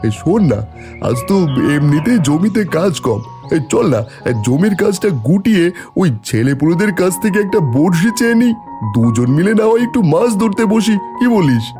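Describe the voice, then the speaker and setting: A man plays an easygoing, eager village man in a cartoon story. His voice sits fairly high and moves around freely as he chats, over background music. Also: big pitch swings, normal speed. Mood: eager, agreeable.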